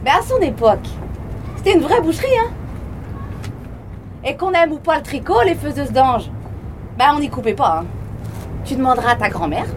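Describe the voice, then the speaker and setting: A woman playing a high-voiced, troubled woman in her thirties. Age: 30s. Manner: colloquial, halting, with bitter asides. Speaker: stage actress. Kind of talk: street theatre monologue. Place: outdoors at a street arts festival. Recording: outdoor show recording with street noise.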